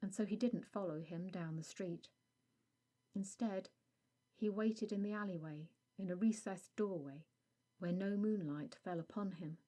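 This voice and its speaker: A woman reading a scripted audio story as narrator, in a measured storytelling delivery. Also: medium pitch, mellow.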